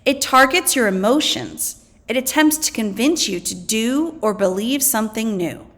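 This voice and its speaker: A woman reads lesson material aloud as a teacher would. Her voice sits fairly high and moves up and down with lively expression. The delivery is scripted and explanatory, with key phrases acted out for the listener. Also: normal speed. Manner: expressive, acts out quoted slogans. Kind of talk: educational read-aloud of scripted text.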